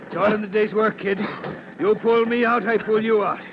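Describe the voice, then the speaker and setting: A level-headed veteran firefighter in a vintage radio adventure, barking gruff orders in a very high-pitched voice. The recording is muffled and full of noise. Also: male voice, steady tone, moderate pace. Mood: steady, reassuring. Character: seasoned, level-headed firefighter, commanding older partner of a rookie. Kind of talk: old-time radio adventure serial acting.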